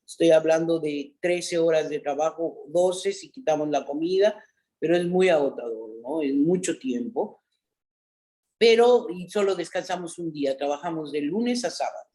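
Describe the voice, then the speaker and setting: Mexican woman telling lively stories. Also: sits low, moderate pace, poor audio. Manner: animated storyteller, frequent "¿no?" tags. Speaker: actress, interview guest. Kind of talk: casual interview chat.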